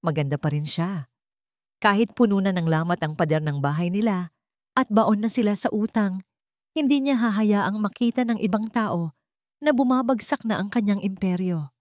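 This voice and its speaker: A woman's voice: medium pitch, moderate pace, a little mellow, dry recording, clean studio sound. A woman giving dramatic scripted narration of an audiobook story.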